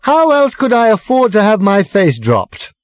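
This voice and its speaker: A man voicing a drunken, rambling, affronted storyteller, slurring and halting over his long words. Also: high voice, wildly swooping pitch, dry recording, clean studio sound, voice pushed up, full character voice.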